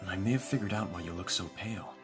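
A man playing a proud, protective vampire master in an audio roleplay, speaking in a measured, formal, instructive way. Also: low-pitched, over music, close to an everyday voice. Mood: calm, instructive.

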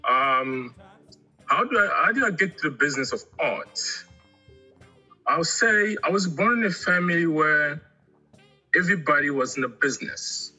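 A middle-aged man from Angola, raised in Congo, chatting casually as an artist guest in an interview, halting and often repeating words. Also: fairly high pitch, expressive, pushed and bright, slightly rough, faint music behind, poor audio.

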